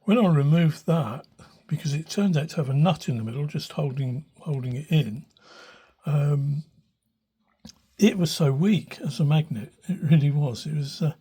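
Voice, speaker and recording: An older man with a husky voice talks through a hobby demonstration. His delivery is unscripted and thoughtful, with hesitations as he works out what he wants to say. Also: a little high, normal speed, mellow. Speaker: hobbyist radio restorer. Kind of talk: informal spoken demonstration, unscripted. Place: small cluttered room indoors. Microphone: handheld phone behind the camera.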